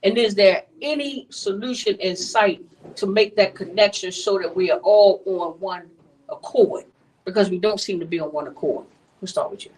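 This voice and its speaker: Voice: medium pitch, moderate pace, darkish tone. A moderator running a community panel, conversational and direct, asking the panel questions, picked up from a distance. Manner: conversational, asks questions, keeps things moving.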